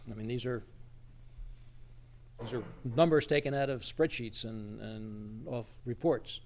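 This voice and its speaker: A man's voice: mid-range voice, measured pace, a little mellow, slight background noise. A man arguing his view off the cuff as an advisory member at a public meeting, emphatic and opinionated. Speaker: advisory committee member presenting a report. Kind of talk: unscripted discussion at a public meeting. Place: public township meeting room.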